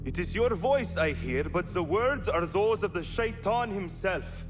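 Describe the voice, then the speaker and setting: An actor playing an anxious, cowardly villain general in a video game, nervous and fretful. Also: male voice, high-pitched, lively intonation, a little slow, over music. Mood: fearful. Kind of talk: video game cutscene acting.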